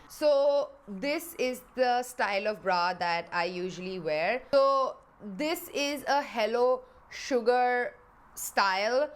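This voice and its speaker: A woman's voice: mid-range voice, a bit bright, a little hiss or hum. A young woman in her twenties, a little nasal and congested, chatting frankly to her vlog audience and laughing often. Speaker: beauty vlogger. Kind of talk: casual chat to camera. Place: home in Dubai.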